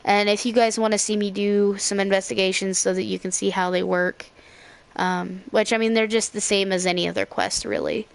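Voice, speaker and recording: A woman's voice: medium pitch, little pitch movement, a little fast, vocal fry. A young woman giving casual, rambling gameplay commentary as a let's play host. Her voice is slightly scratchy. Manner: rambling, with frequent fillers and self-corrections.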